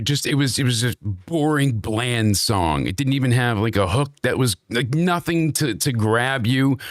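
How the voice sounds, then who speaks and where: A man with a stuffed-up, worn voice talks at an ordinary middle pitch, circling his points and restarting sentences as he argues. The sound is dry and close, with no room around it. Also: no echo. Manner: rambling, opinionated, frequent restarts. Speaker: wrestling review show host. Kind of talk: casual podcast chat.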